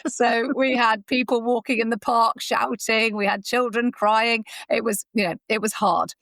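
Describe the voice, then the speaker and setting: A woman's voice: fairly high pitch, fairly even intonation, moderate pace, fairly bright tone, no echo. A woman talking enthusiastically and chattily as an interview guest. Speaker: audio drama producer, interview guest. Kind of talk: conversational interview.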